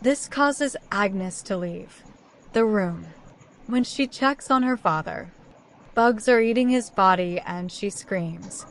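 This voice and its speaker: A woman's voice: mid-range voice, slow, slight background noise, poor audio. A woman narrating a scripted story recap, telling events in order as a storyteller.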